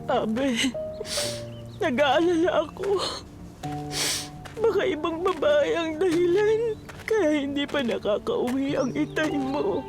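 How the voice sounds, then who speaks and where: Very high-pitched, fretful Filipino mother. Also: lively intonation, slow, long pauses, music in the background. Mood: tearful worry. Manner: plaintive, fretful. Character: anxious, suspicious wife, mother of grown son. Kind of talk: Filipino radio drama acting.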